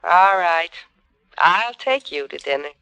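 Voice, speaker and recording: A wry, affectionate American girlfriend in a vintage radio comedy-mystery. Her voice is smooth and very lively in pitch, with dry teasing. The old recording is a little dull and quite dry of room sound. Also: unhurried, no room sound, full character voice. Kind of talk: 1940s-50s radio drama acting.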